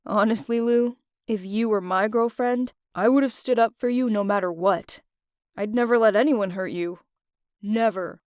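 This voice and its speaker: A woman voicing the male characters of a story, a stern father, a boyfriend and a friend, in a lower, firmer voice. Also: normal speed, darkish tone, no room sound, studio quality, lightly acted. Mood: earnest.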